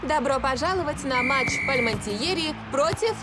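A woman's voice: high voice, lively intonation, normal speed. An actress voicing a matter-of-fact female soccer commentator in a dubbed cartoon. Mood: dry. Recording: cartoon dub with loud music behind.

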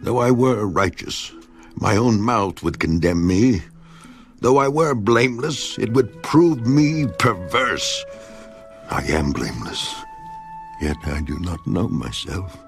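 A tormented man pleading with God, a very deep, gravelly voice that rises into anguished cries and sinks back to near whispers. He speaks slowly, with dramatic swings of pitch, over clear music in a scripture audio drama. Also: animated, heavily acted. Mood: lamenting. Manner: anguished, swinging from hushed to crying out. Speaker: voice actor. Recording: with music underneath.

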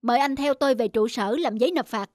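A woman's voice: fairly high pitch, fast, a little mellow, slight background noise, close to an everyday voice. A woman voicing a dutiful young male cartoon policeman, formal and firm. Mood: stern, official. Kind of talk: cartoon dubbing. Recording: music under the dialogue.

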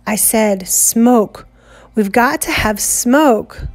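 A woman's voice: a little high, very slow, no echo, exaggerated voice. A woman voicing a stubborn boy leader. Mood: insistent.

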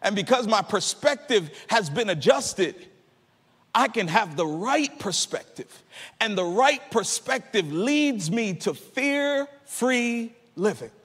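Pastor, male, 30s, high voice, preaches with sung swells, reverberant church hall. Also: lively intonation, slow pace, a bit bright, husky. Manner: impassioned preaching, half-sung peaks. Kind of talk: sermon. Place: large church stage. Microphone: handheld mic.

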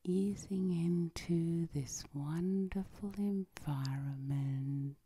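A woman guiding a sleep hypnosis in a soft, whispery ASMR style, with a soothing, lulling manner. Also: fairly low pitch, very slow, slight background noise. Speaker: hypnotherapist, channel host.

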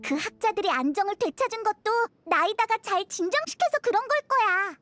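Tiny chirpy fairy girl, very high and bouncy, game dub. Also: animated, a bit bright, dry recording, soft background music. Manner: bouncy, quick, talkative. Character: tiny floating female fairy companion, chirpy and excitable.

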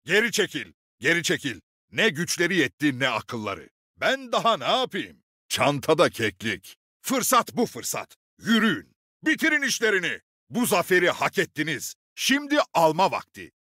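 An actor playing a gruff, middle-aged veteran commander in a video game, barking short, authoritative orders with dry swagger. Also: male voice, a little high, very animated, normal speed, bright, forceful tone, dry recording, heavily acted. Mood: alert, commanding, then tough, boastful. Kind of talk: video game voice lines, short military barks.

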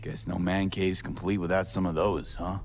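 A man playing a young street mercenary in a video game, blunt but caring, with a terse, casual, low-key delivery. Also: flat delivery, moderate pace, vocal fry, slight background noise. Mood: wry, reflective. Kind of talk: naturalistic video game acting. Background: music in the background.

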